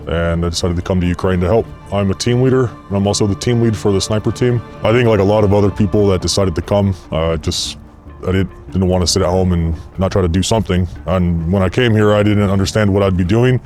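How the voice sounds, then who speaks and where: A man's voice: deep voice, fairly even intonation, fast, darkish tone, soft background music. A man, a former Marine, giving an earnest, plain-spoken interview testimony. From United States.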